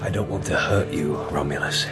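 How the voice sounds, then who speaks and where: A man playing a hard, resolute but gruffly merciful barbarian general in a radio drama. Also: very deep voice, brisk. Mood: stern, merciful. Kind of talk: British radio drama acting. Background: music.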